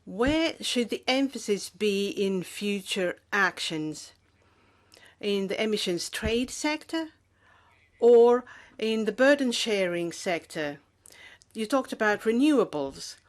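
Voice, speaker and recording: A woman voices an interpretation of formal questions at a hearing. Her pace is slow and her delivery measured, with a few fillers and restarts as she keeps up with the speaker. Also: medium pitch, animated.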